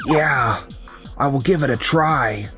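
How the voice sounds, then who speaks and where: A man's voice: mid-range voice, slow pace, close to an everyday voice. A man voicing young company men in a manga dub, with narration, over background music. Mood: earnest, explanatory. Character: betrayed young company founder, calm and resigned, and his coworkers. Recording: music under the voice.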